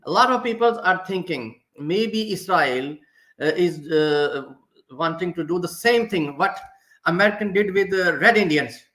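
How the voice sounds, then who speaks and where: A man from Pakistan, a native Urdu speaker with a Pakistani accent, speaking haltingly in non-fluent English on a panel. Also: sits high, fairly bright tone, roomy sound. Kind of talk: extemporaneous panel discussion.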